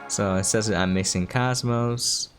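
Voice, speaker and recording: A man's voice: lowish voice, steady tone, measured pace. A man giving casual commentary as he hosts a gameplay preview. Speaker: game preview host. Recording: music behind the voice. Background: game music.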